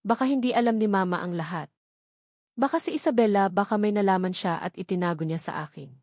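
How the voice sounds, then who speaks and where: A woman's voice: medium pitch, brisk, darkish tone, dry recording, natural voice. A troubled grown son and husband, voiced by a woman storyteller demands the truth in a dramatic story. He is insistent and accusing. The recording is close and dry. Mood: suspicious.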